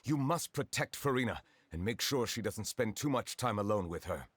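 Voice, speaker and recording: A man's voice: fairly low pitch, lively intonation, a bit bright, slightly rough, no echo. An actor playing a grave, courteous man of authority in a video game, speaking formally. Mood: grave, urgent. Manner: formal, measured.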